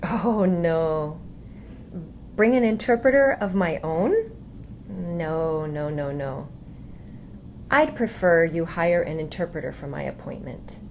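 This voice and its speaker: A woman's voice: fairly low pitch, very animated, takes it slowly, a little mellow, exaggerated voice. A woman voicing an earnest, insistent deaf patient in an educational dramatization. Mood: indignant, insistent. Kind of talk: voiced dialogue and scripted explanation in an educational video. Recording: faint background noise.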